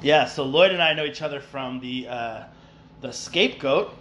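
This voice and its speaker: A man's voice: mid-range voice, moderate pace, a bit bright, slight background noise. A man from New York hosting a casual podcast, rambling with many fillers and restarts. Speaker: podcast host.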